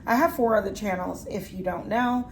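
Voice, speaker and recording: A woman's voice: medium pitch, moderate pace, slight background noise. Chatty woman in her forties. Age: 40s. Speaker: vlogger. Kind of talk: casual chat.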